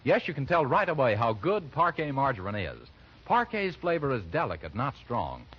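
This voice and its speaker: A man's voice: medium pitch, big pitch swings, moderate pace, husky. A man announcing in 1940s radio commercial style, emphatic and persuasive. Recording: muffled 1940s radio broadcast.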